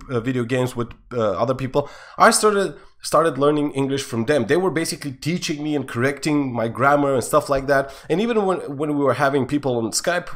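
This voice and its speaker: A young man from Bulgaria, a Bulgarian native speaker, telling a rambling gaming story in casual English full of fillers and restarts. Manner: rambling, many fillers and restarts, lively swings in pitch and loudness. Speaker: storytime YouTuber.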